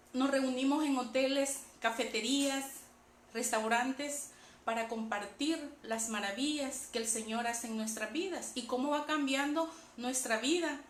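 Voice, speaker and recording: A Salvadoran woman with a fairly high voice shares a religious testimony. She talks conversationally, checking in with her listeners as she goes. The room is clearly echoey, with faint noise behind her. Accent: Salvadoran Spanish. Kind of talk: personal religious testimony to an online audience.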